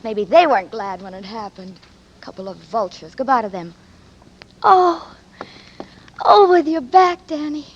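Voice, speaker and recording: A woman's voice: fairly high pitch, animated, unhurried, long pauses. An actress playing an anxious, doting former nurse in a 1940s radio drama, cooing endearments to her man. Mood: scornful. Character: possessive tender woman, Ruth, ex-nurse. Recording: dull old radio recording with faint hiss.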